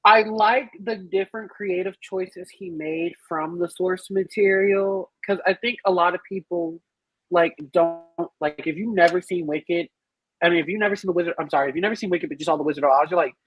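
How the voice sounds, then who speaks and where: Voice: mid-range voice, fairly quick, husky, no room sound. A podcast panelist chatting casually about a film, explaining animatedly and acting out reactions, with some casual swearing. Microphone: online group call. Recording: muffled, lo-fi online call audio.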